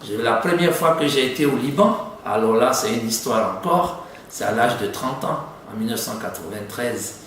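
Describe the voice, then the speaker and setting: A man's voice: medium pitch, animated, moderate pace, a bit bright. Man, fifties, conversational interviewee who repeats for emphasis; very reverberant room, faint noise. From Côte d'Ivoire (Abidjan), of Lebanese descent. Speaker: doctor and city councillor being interviewed. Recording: echoey room, distant mic, faint noise.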